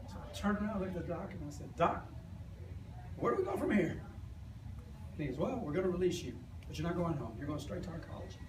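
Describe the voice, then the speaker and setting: Hoarse guy in his 50s telling his story to a crowd, kinda high voice, far off mic in a noisy echoey room. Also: expressive, quick pace, pauses often. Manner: earnest, plain-spoken storyteller who acts out quoted dialogue. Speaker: speaker sharing his story with an audience. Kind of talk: personal storytelling to a live audience. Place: event hall with an audience.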